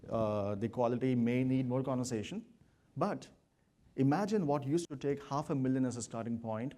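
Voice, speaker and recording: A man presenting at a business conference and addressing his audience, pausing often with "uh". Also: medium pitch, mellow. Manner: measured, many "uh" fillers. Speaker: consulting presenter.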